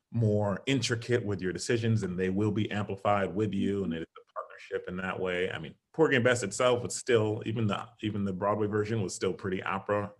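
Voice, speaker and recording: A man with a low, deep speaking voice chats casually as an interview guest. He is animated, laughs easily and jumps up in pitch when he acts out his own reactions, heard over a video call. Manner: animated, laughs often, quotes himself in lively bursts. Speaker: interview guest, stage actor and singer.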